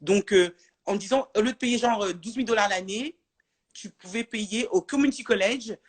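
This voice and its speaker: A woman from France speaks as a guest in a relaxed live interview. She hesitates often and keeps restarting her sentences with fillers, at an average pitch. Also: moderate pace, husky. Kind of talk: casual live interview chat.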